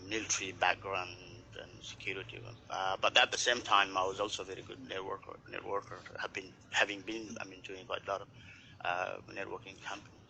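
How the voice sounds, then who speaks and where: A man's voice: sits low, creaky, pushed and bright, a little hiss or hum, poor audio. A man from Nepal, a network marketer, talking earnestly in a casual group chat and often repeating his words.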